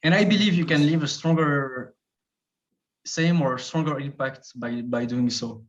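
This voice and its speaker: A man's voice: medium pitch, unhurried. Hesitant Swiss man, dull audio. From Switzerland. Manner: thoughtful, hesitant, many fillers and restarts. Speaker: guest, travel video creator. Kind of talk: casual interview conversation.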